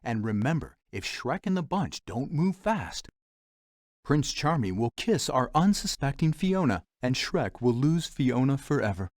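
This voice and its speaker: A man's voice: medium pitch, big pitch swings, a little slow, dry recording, clean studio sound. A man playing a wry, theatrical storyteller narrating a fairy-tale adventure game in a dramatic, playful way.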